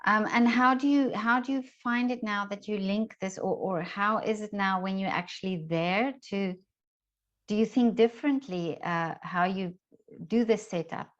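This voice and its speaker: A woman with a mid-pitched voice hosts a casual interview. She builds her questions tentatively, searching for words as she goes. The sound is a little muffled and lo-fi. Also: moderate pace. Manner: tentative, searching phrasing with frequent backchannels. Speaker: interviewer, host.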